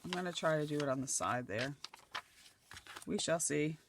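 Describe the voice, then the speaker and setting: A middle-aged woman from Nova Scotia, chatting casually as she works on a craft project, her voice slightly croaky and dry. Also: low voice, animated, moderate pace, slight background noise. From Nova Scotia, Canada. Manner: chatty, thinking aloud while gluing collage pieces. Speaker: craft channel host.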